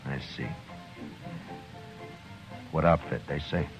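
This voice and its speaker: Deep-voiced grim western lawman. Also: steady tone. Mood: grim, determined. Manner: terse, deliberate, understated. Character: grim, stubborn frontier lawman, grown man, tender toward friends. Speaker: lead actor and narrator. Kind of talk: 1950s western radio drama acting. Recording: dull old radio recording with music.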